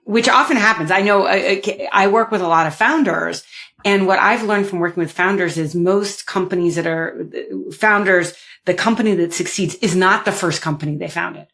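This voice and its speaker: Female co-host, lowish voice with vocal fry, friendly and rambling. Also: normal speed, fairly bright tone. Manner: friendly, rambling question with restarts. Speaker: podcast co-host. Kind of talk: conversational interview.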